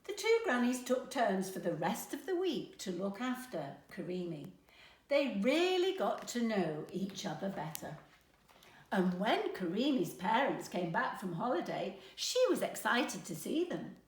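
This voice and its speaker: A woman's voice: mid-range voice, sing-song, unhurried, reverberant. A woman narrating a children's storybook aloud as a storyteller. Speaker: storyteller, grandmother.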